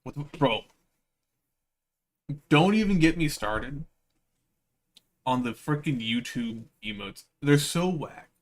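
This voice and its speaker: A man's voice: fairly high pitch, animated, takes it slowly, pauses often. A man livestreaming with slangy, playful, rambling casual chat to his viewers. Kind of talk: casual livestream chat, reading visual novel aloud.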